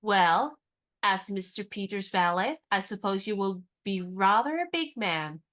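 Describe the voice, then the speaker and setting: A spirited young woman, played by an actress, who poses as a lady's maid and teases her companion in a brisk, knowing way. Her voice sits in a middle pitch. She sounds like a character in a radio play. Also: animated, a bit bright. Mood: teasing.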